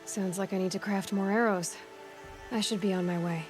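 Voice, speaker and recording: An actress playing a self-reliant, determined young huntress in a video game, direct and matter-of-fact. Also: female voice, mid-range voice, fairly even intonation, moderate pace, faint music behind, natural voice. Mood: curious, resolute. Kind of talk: video game cutscene dialogue.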